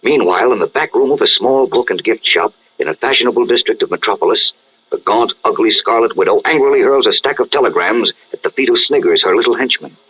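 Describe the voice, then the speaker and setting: A man's voice: medium pitch, fast, slightly rough. An American man narrating dramatically as an announcer in 1940s radio style. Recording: muffled vintage radio recording.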